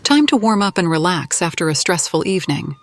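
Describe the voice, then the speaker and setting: A woman's voice: lowish voice, sing-song, brisk, a little hiss or hum. A woman narrating a fantasy game playthrough in character as the player.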